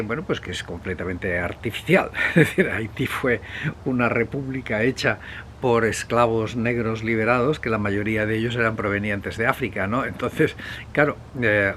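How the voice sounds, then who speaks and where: A man from Spain presenting a history video in an unscripted, conversational way, rambling and hesitant, with pauses, digressions and self-corrections. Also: medium pitch. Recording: clear steady background noise.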